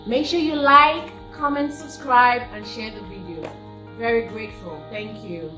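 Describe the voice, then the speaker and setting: A woman telling folktales to viewers in a deliberate way, repeating phrases for emphasis. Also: on the high side, pushed and bright, roomy sound, soft background music. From Nigeria. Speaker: storyteller and singer hosting a folktale channel. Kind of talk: oral folktale storytelling to viewers.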